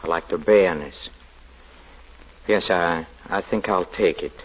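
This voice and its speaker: An actor playing a stern, religious male lodger who speaks in halting, hesitant phrases in a vintage radio drama. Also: sits low, husky. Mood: brooding. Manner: halting, hesitant speech with sudden sharp outbursts. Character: stern pious male lodger, brooding and sinister. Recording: dull lo-fi old radio recording.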